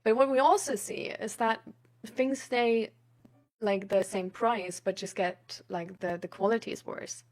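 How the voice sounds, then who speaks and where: A woman's voice: medium pitch, steady tone, a little slow. A woman in casual, rambling chat, thinking aloud in an informal way.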